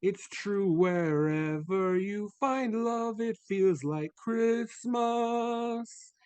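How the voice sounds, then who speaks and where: A man's voice: sits high, drawn-out words, darkish tone, clear, resonant tone, no echo. A man playing a jolly, kindly Christmas spirit in a live dramatic reading, hearty and festive. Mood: joyful singing. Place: remote video call at home. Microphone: video call microphone.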